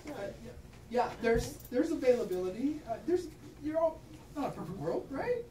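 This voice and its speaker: A woman's voice: high voice, very animated, normal speed, dark tone. Woman doctor lecturing in an echoey room. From Kansas. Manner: frequent tag questions, laughs at herself. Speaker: pain management doctor. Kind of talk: informal talk to clinicians. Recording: roomy echo, distant mic, noticeable background noise.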